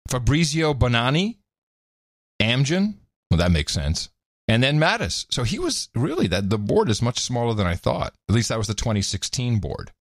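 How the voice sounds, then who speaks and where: Joking podcast guy reading stuff out, bouncy pitch, dry sound. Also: huge pitch swings, normal speed, dry recording. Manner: wry, joking, laughs often. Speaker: podcast co-host. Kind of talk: casual chat.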